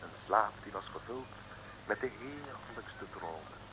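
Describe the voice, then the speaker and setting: A man's voice: lowish voice, expressive, measured pace. A man narrating an old radio serial as a playful, theatrical storyteller. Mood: wry storytelling. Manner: theatrical, singsong lists. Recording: old radio recording, light noise.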